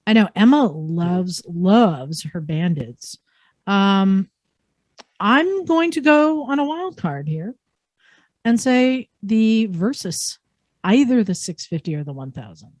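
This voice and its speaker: A woman hosts a relaxed, informal group talk show. Her voice sits at a middle pitch. The sound is dry, with hardly any room around it. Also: slow, no echo. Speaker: podcast host. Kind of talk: casual group chat about motorcycles.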